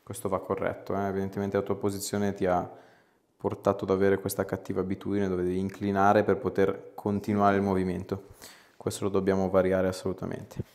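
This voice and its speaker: A man, reassuring and informal as he gives a patient gentle instructions. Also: low-pitched, completely flat delivery, fairly quick. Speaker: chiropractor. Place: small clinic treatment room. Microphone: clip-on lapel mic.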